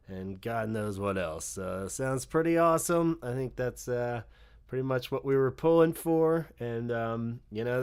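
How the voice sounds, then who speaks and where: Male podcast host, casual and chatty, smooth resonant tone, mid pitch. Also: a little mellow. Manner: easygoing, laughs often, loose filler-heavy talk. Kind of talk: casual chat.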